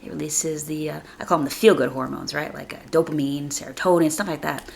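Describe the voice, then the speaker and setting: Female podcast host, deep register with gravelly fry, a bit congested from a chest cold; earnest, informal motivational monologue. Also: fairly even intonation, brisk, slight background noise. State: slightly raspy from a chest cold.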